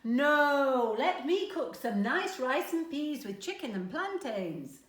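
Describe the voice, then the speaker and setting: A woman's voice: high-pitched, big pitch swings, takes it slowly, echoey room, pitched above the performer's own voice, exaggerated voice. A woman voicing a cheerful, keen grandmother in a children's storybook. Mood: insistent. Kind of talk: children's storybook read aloud.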